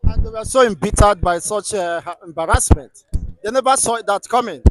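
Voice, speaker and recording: High-voiced man in political talk. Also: fairly quick, no room sound, rough recording. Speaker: co-commentator.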